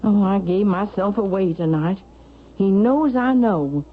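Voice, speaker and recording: An actress playing a cantankerous, snobbish older matriarch in theatrical vintage radio drama style. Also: female voice, mid-range voice, a little slow, phone-quality sound, natural voice. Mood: alarmed, insistent. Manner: haughty, theatrical. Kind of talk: 1970s-80s radio drama acting. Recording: thin band-limited vintage radio, hissy.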